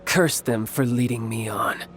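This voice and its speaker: A man's voice: medium pitch, unhurried, faint music behind, close to an everyday voice. A man voicing a cocky, sly young villain in a video game, with a glib, teasing and theatrical manner. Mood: breezy, teasing. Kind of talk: video game character dialogue.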